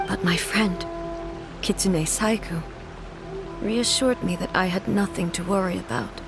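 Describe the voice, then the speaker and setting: A woman's voice: on the low side, over music. Solemn, stately immortal female ruler. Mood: grave. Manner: measured, formal, stately. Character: solemn, regal immortal female shogun, grieving yet composed. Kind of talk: video game voice acting, formal dialogue.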